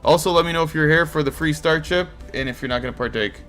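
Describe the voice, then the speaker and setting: A man's voice: on the high side, fast talker, a bit bright, faint music behind. A man with a Portuguese accent hosting a card game tournament on a live stream, casually chatting and answering viewers' comments. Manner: easygoing, chatty, reacts to chat.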